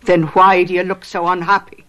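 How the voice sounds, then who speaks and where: An actress playing a sensible, plain-spoken housekeeper who narrates a radio drama, measured and matter-of-fact. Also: female voice, fairly low pitch, flat delivery, a bit bright, natural voice, poor audio. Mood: probing. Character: sensible, plain-spoken housekeeper and confidante, older than her mistress. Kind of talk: radio drama acting and storytelling.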